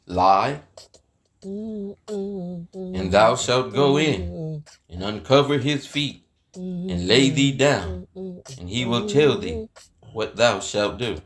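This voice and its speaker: A man voicing an older mother-in-law who gently instructs her daughter in a deliberate, counselling way in a dramatized scripture story. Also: medium pitch.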